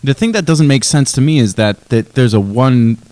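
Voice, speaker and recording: A man's voice: mid-range voice, animated, moderate pace, mellow. A man asking questions as a podcast co-host in a casual, conversational way.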